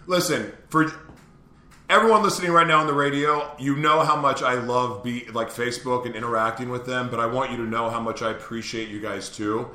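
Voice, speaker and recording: Upbeat bright-voiced male host. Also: mid-range voice, steady tone, fairly quick, roomy sound. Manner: upbeat, laughing easily. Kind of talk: casual live show chat.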